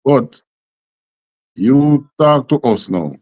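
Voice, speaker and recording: A man's voice: medium pitch, expressive, drawn-out words, a little mellow, dry recording. A man with a Jamaican accent teaching a scripture class, emphatic and full of rhetorical questions. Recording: dull lo-fi sound.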